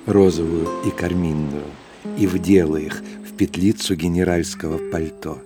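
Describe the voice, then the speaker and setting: An actor narrating a classic literary tale in an audio drama in a measured, lyrical manner. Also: male voice, low-pitched, steady tone, lightly acted. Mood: calm, lyrical. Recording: guitar music behind narration.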